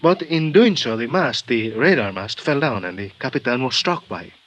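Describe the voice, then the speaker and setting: An actor as a halting, courteous Mexican doctor. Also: male voice, mid-range voice, animated, fairly bright tone, slight background noise, lightly acted. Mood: earnest, halting. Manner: halting, formal, searching for words. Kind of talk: 1950s radio drama acting.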